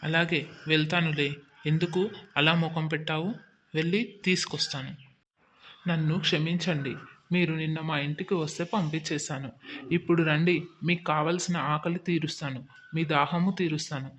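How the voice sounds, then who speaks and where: A man voicing a greedy, gruff village elder brother in a moral story cartoon. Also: fairly high pitch, steady tone, moderate pace, fairly bright tone, natural voice. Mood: curious. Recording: dull lo-fi audio with clear noise.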